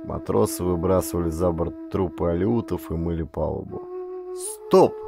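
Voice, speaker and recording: A man narrating an adventure audiobook in a measured, theatrical, scripted style with music behind him. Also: deep voice, gravelly.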